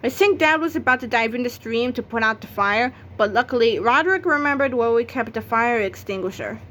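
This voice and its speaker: Woman, slightly high voice, children's book read-aloud narration, background noise. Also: expressive, brisk, fairly bright tone. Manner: acts out sound effects and exclamations.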